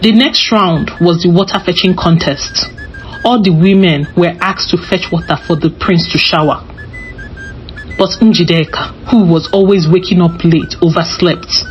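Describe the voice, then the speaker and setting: Female storyteller, slightly low voice, scripted folktale narration, a bit dull in sound over music. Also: unhurried.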